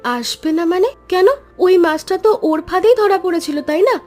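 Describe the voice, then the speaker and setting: A woman playing a landlord's concerned, fair-minded young daughter in an animated children's story, questioning and emphatic. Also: very high voice, moderate pace, faint music behind.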